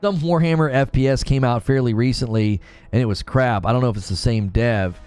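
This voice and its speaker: A man's voice: mid-range voice, fairly quick, a little mellow, clean tone, faint music behind. A young man hosting a live stream, chatting loosely about games and reading chat, with many fillers and restarts. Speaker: streamer host.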